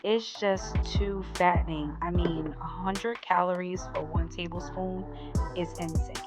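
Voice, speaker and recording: A woman's voice: on the low side, fairly even intonation, unhurried. A woman in her forties chatting casually and upbeat to her viewers in a fitness vlog. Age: 40s. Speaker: fitness vlogger. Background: loud music.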